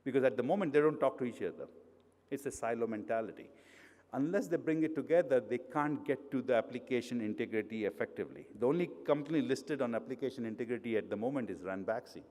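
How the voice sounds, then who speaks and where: A man's voice: mid-range voice, expressive, fast talker, darkish tone. A middle-aged man with an Indian accent, giving a conversational conference talk full of fillers and restarts. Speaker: conference speaker. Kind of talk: conference presentation. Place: hotel conference hall. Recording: long hall reverb.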